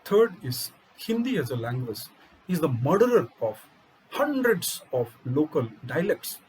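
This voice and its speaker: Emphatic Indian man, forceful rhetoric. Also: on the high side, big pitch swings, unhurried, a little hiss or hum, poor audio. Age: middle-aged. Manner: emphatic, rhetorical questions, repeats key phrases. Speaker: UPSC coaching teacher. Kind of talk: impassioned lecture.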